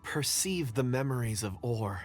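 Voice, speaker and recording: A man's voice: mid-range voice, animated, measured pace, soft background music. A man voicing a polite, earnest, slightly timid young worker in a video game, with a hesitant manner.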